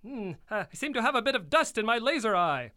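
A woman's voice: fairly high pitch, very animated, fast talker, sharp tone. A woman playing an eager, insecure little robot hero with a hesitant, exclamatory manner in a children's audio play. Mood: polite, grateful. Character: amazing, friendly, insecure little robot hero with odd weapons. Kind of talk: children's audio theater acting.

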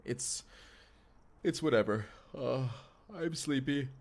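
A man's voice: mid-range voice, slow pace, a little mellow. A young man acting a goofy, affectionate husband from the future in an intimate audio drama, speaking casually and hesitantly straight to the listener. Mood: tender, flustered. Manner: casual, hesitant, sighing, talks directly to listener. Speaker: actor in audio roleplay. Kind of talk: first-person immersive audio roleplay, intimate conversational acting.

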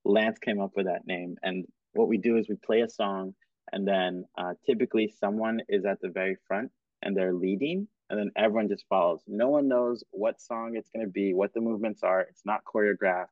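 Podcast host, male, fairly low voice, upbeat and encouraging; dry, tinny phone-like recording. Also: a little fast, no room sound. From Cameroon and Kenya. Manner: relaxed, laughs often, encouraging. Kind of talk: casual interview chat.

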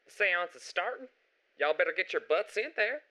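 A bubbly male occult shop clerk, played by a man for radio theater, speaks in a bright, animated voice. His delivery is folksy, and the sound is dry and close. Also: high voice, normal speed, no room sound. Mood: urgent, folksy. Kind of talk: radio drama acting.

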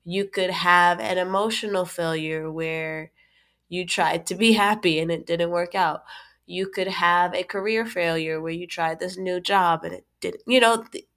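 A woman co-hosting a casual podcast chat, stuffy from a cold, animated and quick to laugh, often restarting her sentences. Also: on the low side. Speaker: podcast co-host.

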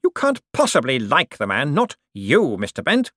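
A man voicing a smug, wealthy bank director in an audiobook, suave and condescending, with drawn-out, menacing hints. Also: medium pitch, wildly swooping pitch, a bit bright, no room sound, studio quality, voice pushed up. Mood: smooth, pressing. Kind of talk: audiobook character dialogue.

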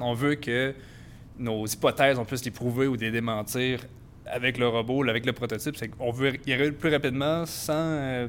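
A young man, chatting as a podcast guest in a relaxed, self-mocking way. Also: mid-range voice, a little hiss or hum. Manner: relaxed, self-mocking, uses English slang. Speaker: guest, robotics master's graduate. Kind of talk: casual interview chat.

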